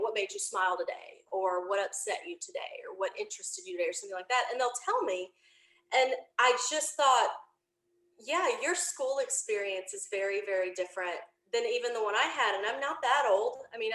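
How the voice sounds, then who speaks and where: A woman in her forties chatting casually as an interview guest, talkative and quick to laugh. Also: medium pitch. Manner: chatty, animated storytelling, laughs easily. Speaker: podcast creator, interview guest. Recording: roomy, echoing room sound.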